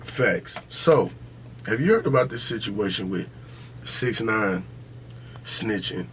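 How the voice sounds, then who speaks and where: A man's voice: on the low side, expressive, slow, a bit bright, slight background noise. An American man hosting a podcast, talking casually and emphatically with heavy slang and swearing, repeating his points. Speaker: podcast host. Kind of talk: casual opinionated podcast talk.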